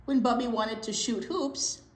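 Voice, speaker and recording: A woman reading a picture book aloud to young children in an expressive storytime style, pausing to wonder aloud. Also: a little high. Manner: animated, pauses to wonder aloud and question young listeners. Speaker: children's storytime reader at a library. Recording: roomy echo with clear background noise.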